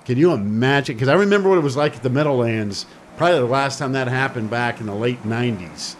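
A man in the host's chair of a sports talk radio show. His voice sits at an ordinary middle pitch, and he talks in an emphatic, chatty way, often repeating himself. A faint hiss sits behind him. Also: quick pace.

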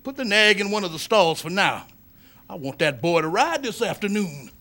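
High-pitched, blustering racehorse owner. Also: male voice, animated, normal speed, sharp tone. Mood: grudging, gruff. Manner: bossy, barking, emphatic. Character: blustering, hot-tempered, domineering male racehorse owner. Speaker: actor. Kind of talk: old-time radio drama acting.

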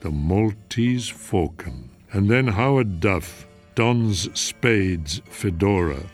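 Deep, slow male radio host. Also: lively intonation, faint music behind. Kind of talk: scripted presentation of classic radio.

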